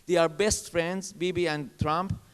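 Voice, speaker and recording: Panelist, man, English, emphatic, somewhat high voice with a smooth, resonant tone. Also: fairly even intonation. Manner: emphatic, repetitive, halting phrasing. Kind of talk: panel discussion. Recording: reverberant conference hall.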